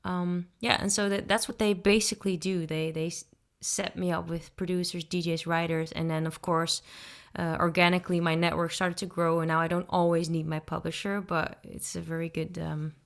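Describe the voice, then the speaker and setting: Dutch woman in her thirties, a podcast guest, clear voice, easygoing and reflective. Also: lowish voice, moderate pace. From Netherlands. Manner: relaxed, thoughtful, frequent ums and restarts. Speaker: guest singer-songwriter. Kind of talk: casual interview answers.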